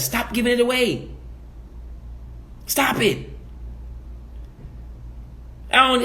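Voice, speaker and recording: A man's voice: sits high, a bit bright. A man from the Caribbean giving emphatic opinion commentary as a vlogger, preachy and repeating his points.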